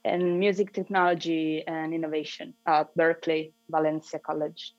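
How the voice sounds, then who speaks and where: A woman's voice: low voice, level tone, moderate pace, dry recording. Italian woman, dull dry line with hum. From Italy. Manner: short answers with small laughs. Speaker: guest musician. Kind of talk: casual interview answers.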